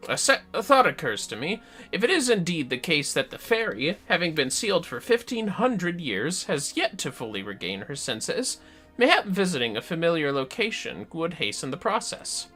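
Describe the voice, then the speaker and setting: A man's voice: fairly high pitch, big pitch swings, normal speed, sharp tone, faint music behind, pitched above the performer's own voice, exaggerated voice. A man voicing a formal, learned fantasy game scholar, reading dialogue theatrically in archaic wording. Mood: learned, pondering.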